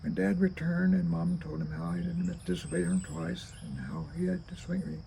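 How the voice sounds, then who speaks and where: Old guy in his 80s reading his memoir, super gravelly, kinda high pitched for a man, halting. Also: fairly even intonation, warm tone, a little hiss or hum. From rural Kentucky, United States. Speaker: storyteller reading own memoir. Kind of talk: reading a childhood memoir aloud.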